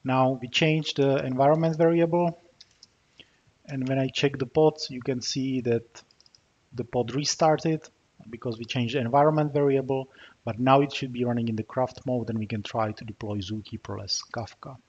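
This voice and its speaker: A man with a Czech accent walking through a software demo as he types, with frequent filler pauses. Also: medium pitch, steady tone, breathy edge. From Czech Republic. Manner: narrates while typing, frequent uh fillers. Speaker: software developer demoing a tool. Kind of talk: screencast tutorial walkthrough. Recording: slightly dull, muffled recording with keyboard typing.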